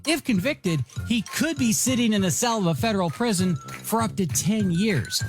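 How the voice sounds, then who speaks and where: Radio dj host, jokey and showy, really lively pitch, music going underneath. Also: normal speed. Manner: playful, joking, showy delivery. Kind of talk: energetic music radio DJ patter. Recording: music bed behind voice.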